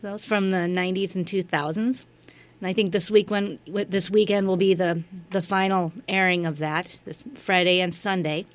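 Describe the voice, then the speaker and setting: A woman's voice: fairly low pitch, steady tone, moderate pace. A woman speaking as a guest in a casual radio interview, agreeable and informal. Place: radio station studio.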